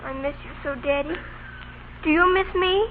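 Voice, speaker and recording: A woman's voice: high-pitched, moderate pace, a little hiss or hum, natural voice. A young female performer playing a spunky, devoted little girl in a vintage radio drama. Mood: tender, wistful. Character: spunky, defiant little Southern girl, devoted to her father. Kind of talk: 1930s-40s radio drama acting. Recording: tinny, band-limited old radio broadcast.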